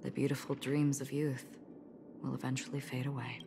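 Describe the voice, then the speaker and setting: A woman's voice: extremely low pitch, level tone, moderate pace, background noise. An actress playing a cool, melancholy female wanderer in a video game, pensive and reserved. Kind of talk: voiced video game dialogue. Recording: reverberant game audio with music.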